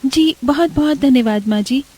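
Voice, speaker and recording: A woman voicing a gentle, devoted poor young bride who speaks politely and respectfully. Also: high voice, normal speed, pitched above the performer's own voice, natural voice. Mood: sweet, respectful. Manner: respectful, polite, soft-hearted.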